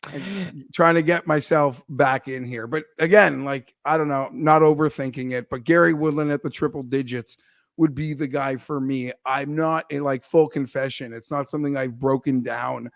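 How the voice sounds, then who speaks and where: A hoarse man co-hosting a casual golf betting chat, rambling and leaning on filler words. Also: mid-range voice, fairly even intonation, moderate pace, no echo, studio quality. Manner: rambling, leans on "like" and restarts. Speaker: golf betting analyst co-host.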